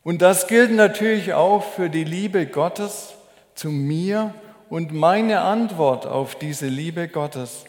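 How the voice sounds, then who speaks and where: A man preaching a sermon as a preacher, in a measured, deliberate, explanatory way. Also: fairly high pitch, a little mellow. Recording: echoey hall with long reverb.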